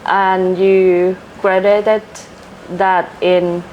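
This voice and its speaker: A woman's voice: mid-range voice, level tone, drawn-out words, highs cut off, a little hiss or hum. A woman with a Thai accent hosting a casual interview in a polite, effusive way. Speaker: host.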